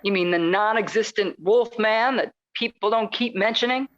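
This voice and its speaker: A woman's voice: medium pitch, normal speed, dull audio, heavy background noise, close to an everyday voice. A woman playing a plucky, sardonic reporter held captive in a radio play, with an incredulous manner. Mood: sceptical, wry. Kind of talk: radio comedy-drama dialogue.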